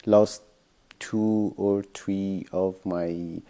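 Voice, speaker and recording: A middle-aged man from Iranian Kurdistan, a sculptor, telling his story in English with a Kurdish accent, halting often to search for words. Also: low-pitched, steady tone, mellow, dry recording. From Kurdistan, western Iran. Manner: slow and halting, many hesitations, non-native phrasing. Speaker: sculptor being interviewed.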